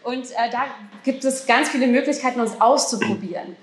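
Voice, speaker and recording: Young female podcast host, chatty, slightly high voice, echoey venue. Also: a little fast, slight background noise. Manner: chatty, laughs often. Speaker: podcast host, assistant director. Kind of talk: live stage talk. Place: theater restaurant, indoors. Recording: echoey room.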